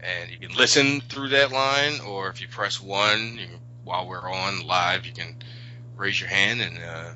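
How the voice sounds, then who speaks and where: Man, lowish pitch, casual co-host chat, halting, informal, dull lo-fi audio. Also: bright, forceful tone, slight background noise. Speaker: podcast co-host.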